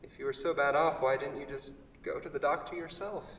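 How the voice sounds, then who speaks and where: A man's voice: fairly even intonation, brisk, fairly bright tone, slight background noise, close to an everyday voice. Exasperated college-student son, read by a man, very echoey. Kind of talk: staged play reading. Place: theatre, staged reading. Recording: very echoey, rumbly hall from far off.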